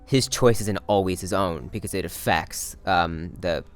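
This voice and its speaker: A man's voice: low-pitched, a little slow, faint music behind. A man casually talking through a character from a play, hesitant and thinking aloud as he goes. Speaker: actor discussing a play.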